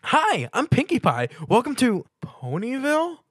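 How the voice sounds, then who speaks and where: Man putting on a squeaky, hyper girly cartoon pony. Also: huge pitch swings, a little slow, a bit bright, breathy edge, dry recording, clean studio sound, full character voice. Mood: excited, surprised. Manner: gushing, exclamatory. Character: bubbly, hyperactive female cartoon pony, excitable and chatty. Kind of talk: dramatic fan fiction reading.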